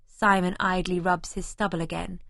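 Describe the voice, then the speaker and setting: A woman's voice: on the low side, little pitch movement, normal speed, creaky, fairly bright tone, no echo. A woman reading first-person scripted narration for an audiobook. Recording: remastered audiobook, close and dry.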